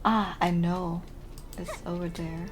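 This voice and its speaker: Low-pitched woman streamer, unhurried. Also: steady tone, faint music behind. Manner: musing aloud, reacting to the game. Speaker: game streamer. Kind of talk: casual let's play commentary.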